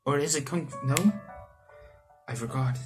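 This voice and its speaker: A man's voice: mid-range voice, normal speed, faint music behind. A young man from South Africa reading a visual novel aloud with casual, rambling commentary and joking asides. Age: young adult. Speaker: let's player. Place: small room indoors.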